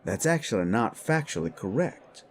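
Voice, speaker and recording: A man's voice: lowish voice, very animated, normal speed. A man voicing an older, patient, experienced werewolf mentor who explains things frankly in an audio drama. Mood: patient, instructive. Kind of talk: scripted audio drama dialogue.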